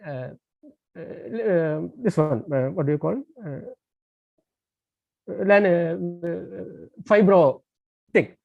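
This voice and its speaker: A halting male lecturer over a dull phone line. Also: a little high, gravelly, dry recording. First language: Tamil. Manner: hesitant, many fillers and restarts. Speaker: guest lecturer. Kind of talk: online lecture over a call. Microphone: phone on a landline call. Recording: dull dry phone-call audio.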